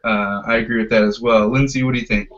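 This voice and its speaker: A man's voice: lowish voice, fairly even intonation, quick pace, a bit bright. A man hosting a casual panel discussion, agreeable and hesitant, often repeating his phrases. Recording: dull lo-fi podcast audio.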